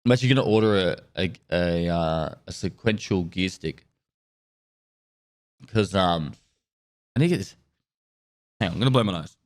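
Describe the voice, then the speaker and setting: A man with a stuffy nose from a cold, chatting casually on a live stream and thanking subscribers in a rambling, relaxed way. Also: low voice, normal speed, pauses often.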